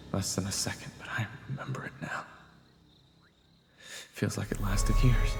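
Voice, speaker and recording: An actor playing a weary man recounting his traumatic fantasy adventure in a deliberate, somber way. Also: lowish voice, vocal fry, a bit bright, full character voice. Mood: tense, haunted. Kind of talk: dramatic first-person story narration. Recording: echoey hall reverb, music behind.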